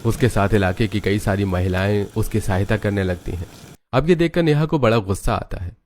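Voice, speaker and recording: A man's voice: on the low side, brisk, a little mellow, slight background noise. A man narrating a scripted story as its storyteller.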